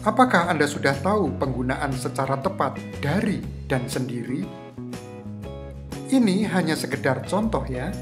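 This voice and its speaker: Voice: mid-range voice, expressive, normal speed. A presenter gives scripted instructional narration in a didactic manner, putting rhetorical questions to the listener. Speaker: host of a screenwriting tutorial. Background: guitar music.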